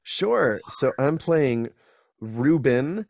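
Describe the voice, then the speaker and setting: A man's voice: medium pitch, very animated, drawn-out words, vocal fry, darkish tone. A young adult man, a guest actor, talking casually and rambling with restarts over a video call on a studio mic. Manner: chatty, rambling, frequent restarts and fillers.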